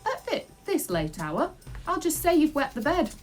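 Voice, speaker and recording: A woman voicing a bossy but doting old nanny in a children's storybook, brisk and coaxing. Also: high voice, huge pitch swings, soft background music, pitched above the performer's own voice. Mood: coaxing, pleased. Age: older.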